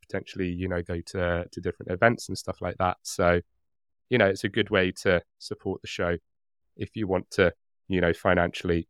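A man chats casually as a podcast co-host. His voice is smooth and clear, recorded dry. He rambles a little hesitantly, with a joking streak. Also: sits low, a bit bright, no room sound. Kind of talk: casual chat.

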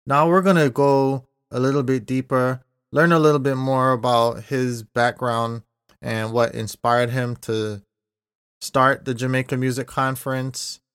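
Smooth, even-toned podcast host, dry sound. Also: male voice, mid-range voice, measured pace, no echo. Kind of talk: podcast intro and recap.

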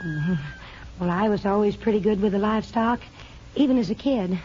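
A woman's voice: mid-range voice, moderate pace, mellow, close to an everyday voice. A woman playing a modest, capable farm woman in a 1950s radio drama, hesitant and soothing, with an American accent. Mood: nervous, soothing. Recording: muffled, noisy old radio recording.

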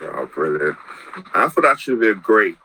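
A man's voice: on the low side, big pitch swings, normal speed, bright, forceful tone, slight background noise, poor audio. A man, hosting a podcast and interviewing a guest with enthusiastic praise and plenty of fillers. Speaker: podcast host.

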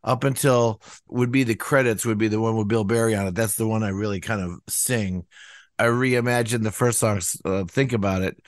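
An older man musician talks in a loose, rambling way, stacking up "you know"s and slipping into higher voices when he quotes people. His voice sits low. The sound is dry and close. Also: fairly even intonation, a little fast, dry recording. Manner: rambling, many "you know" fillers, acts out quotes in a raised voice. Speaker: musician guest. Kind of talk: casual interview talk.

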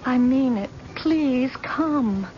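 An actress playing an anxious, unhappy wife in a vintage radio drama, with a fretful, pleading way of talking. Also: female voice, high-pitched, animated, drawn-out words, full character voice. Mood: pleading, miserable. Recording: dull, noisy old radio recording.

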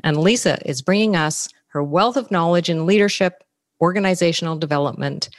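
A woman with a low, steady voice hosts a business podcast. She reads through prepared introductions and figures in a measured way. The sound is dry and close, with no room around it. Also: no room sound. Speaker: podcast host.

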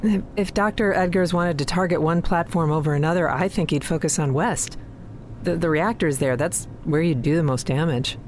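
An actress playing a guarded, defensive research scientist in a sci-fi audio drama. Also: female voice, sits low, moderate pace, a little mellow, slight background noise, close to an everyday voice.

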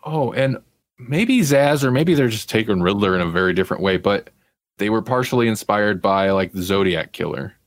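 A man with a fairly low voice chats casually as a podcast host. His delivery is wry and conversational, and the sound is dry, with very little room in it. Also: lively intonation, moderate pace, dry recording. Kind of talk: casual pop culture chat.

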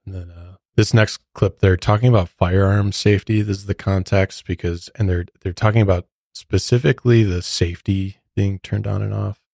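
Deep, even-toned man chatting casually. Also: moderate pace, creaky, hardly any pauses, no room sound, clean studio sound. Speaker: podcast co-host. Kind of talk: casual explanatory chat.

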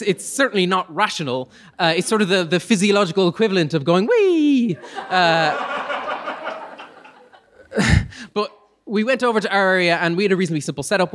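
A man's voice: fairly high pitch, fairly bright tone. A man telling a personal story live to an audience, in a hesitant, self-deprecating, humorous way. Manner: hesitant, self-deprecating humour, chuckles as he talks. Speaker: storyteller on stage.

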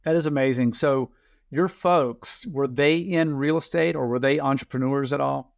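A man's voice: mid-range voice, moderate pace, gravelly, dry recording. Casual male podcast host, close dry sound. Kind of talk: casual conversational interview.

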